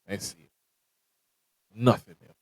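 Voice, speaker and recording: A man's voice: slow pace, no echo, low-quality recording. Preachy man host. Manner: preachy, direct, repeats himself. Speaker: podcast host. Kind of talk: motivational talk.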